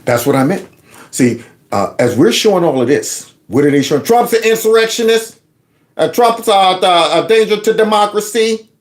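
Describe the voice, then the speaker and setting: A man giving casual political commentary on his channel, talking in a mocking, animated way. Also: high-pitched, moderate pace. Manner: animated, mocking, slips into put-on voices. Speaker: commentary vlogger.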